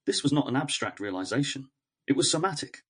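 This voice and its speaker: A man reading a scripted, reflective essay in a measured, earnest way with short, deliberate phrases. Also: medium pitch, expressive, husky. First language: English. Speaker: narrator of an educational essay series.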